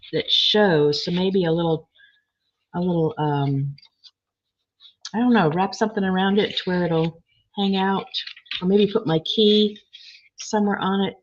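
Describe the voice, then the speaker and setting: A woman with a slightly froggy, hoarse voice, chatting casually as she works on a craft project and reads viewer comments. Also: on the low side. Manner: chatty, thinking aloud while working, reading comments. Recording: dull lo-fi sound.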